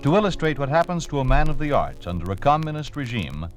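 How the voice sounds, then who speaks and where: A man's voice: mid-range voice, sing-song, fast. A man narrating a scripted, dramatic introduction to a radio play. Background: music.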